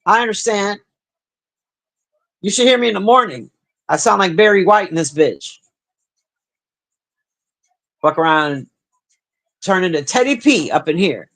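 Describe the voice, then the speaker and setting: Deep-voiced woman, profane and blunt. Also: unhurried, pauses often. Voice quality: deep, husky smoker's voice. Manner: profane, blunt, animated banter. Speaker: livestream host reading chat. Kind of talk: casual livestream chat.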